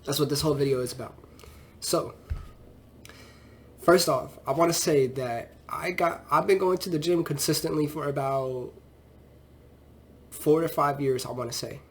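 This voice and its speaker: A young man in his twenties with a stuffy, congested nose chats loosely into the camera, voice sitting a bit high for a guy. He rambles easily and leans on fillers like "you know" as he talks about his life as a trainer. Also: normal speed, long pauses. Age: 20s. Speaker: fitness trainer and vlogger. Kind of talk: casual rambling vlog talk.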